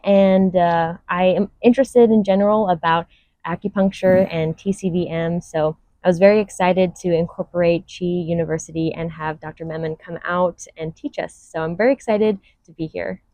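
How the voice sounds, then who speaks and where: Young woman from hawaii answering interview questions, smooth and articulate, lowish voice, dry sound. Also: a little mellow, no echo. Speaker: veterinary student, interview guest. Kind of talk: unscripted interview.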